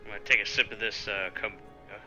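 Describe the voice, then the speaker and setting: A man playing a tabletop role-playing game, improvising casually in a halting way and repeating words. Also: on the low side, steady tone, pushed and bright, muffled recording, soft background music.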